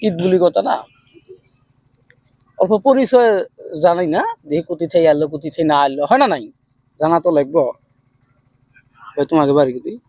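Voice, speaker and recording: A man's voice: fairly high pitch, lively intonation, brisk, pauses often, a little mellow, dry recording, low-quality recording. A man with a hosting a casual vlog interview, repeating answers back and giving advice. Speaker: video creator interviewing newcomers.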